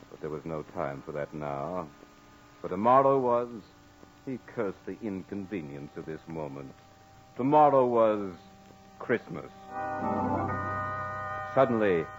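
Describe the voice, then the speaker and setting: A man narrating a suspense story in a brooding, theatrical 1940s radio style. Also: low voice. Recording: dull lo-fi 1940s radio recording.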